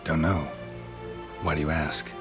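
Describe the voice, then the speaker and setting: An actor playing a grizzled monster hunter who speaks in a terse, dry way over loud music. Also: male voice, very deep voice, flat delivery, unhurried. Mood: curt. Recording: game audio with loud music.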